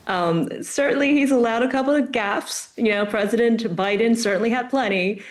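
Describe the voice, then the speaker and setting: A woman's voice: a little high, moderate pace. A woman giving upbeat, chatty news commentary, with frequent fillers and restarts. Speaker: political commentator.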